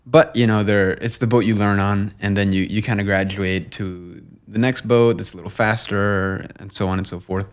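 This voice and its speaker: A young man from Long Island with a low, smooth and resonant voice. He talks earnestly and at an even pace, with plenty of "uh" and "you know" and the odd restart, the way a guest speaks on a podcast interview. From Long Island, New York. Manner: measured and earnest, with frequent fillers and restarts. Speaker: guest, nonprofit co-founder. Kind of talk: conversational interview.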